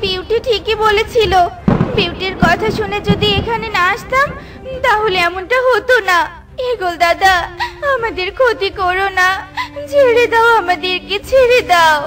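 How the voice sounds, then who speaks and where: Woman voicing a very high-pitched little cartoon bird. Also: a little slow. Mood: tearful pleading. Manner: bossy, snapping, dismissive. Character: arrogant, scornful little female bird, easily offended. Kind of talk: children's cartoon acting. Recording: loud music behind the voice.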